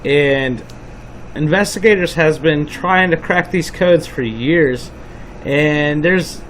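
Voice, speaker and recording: A man's voice: on the high side, slow pace, a little hiss or hum. A man hosting a podcast, explaining things in an informal, rambling, earnest way. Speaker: podcast host. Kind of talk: casual explainer chat.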